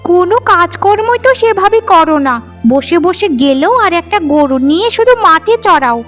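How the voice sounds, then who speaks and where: A woman plays a scornful young sister-in-law from a cartoon drama. The voice is very high and full of nagging, sharp questions. Faint music runs underneath. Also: expressive, normal speed. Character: scheming, scolding young sister-in-law, greedy and disdainful.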